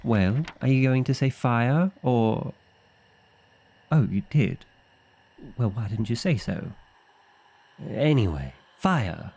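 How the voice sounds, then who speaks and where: A man's voice: mid-range voice, lively intonation, slow, creaky, long pauses, darkish tone, slight background noise. A man playing a fussy, exasperated vampire librarian in an ASMR roleplay, with an indignant, officious and dryly comic delivery. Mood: flustered.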